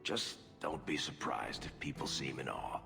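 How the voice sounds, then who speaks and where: A man's voice: very deep voice, animated, moderate pace, vocal fry, exaggerated voice. A male actor playing a roguish, cocky dwarf storyteller in a video game, with a wry, theatrical way of talking. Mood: playful boasting. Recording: reverberant voice with loud music behind.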